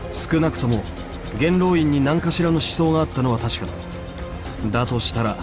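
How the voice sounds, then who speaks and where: A man playing a higher-pitched officious game aide. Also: a little fast, over music, lightly acted. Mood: pondering. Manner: clipped, businesslike orders with dry grumbling. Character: brisk, officious male aide, efficient, irritable and deadpan. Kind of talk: Japanese video game voice acting.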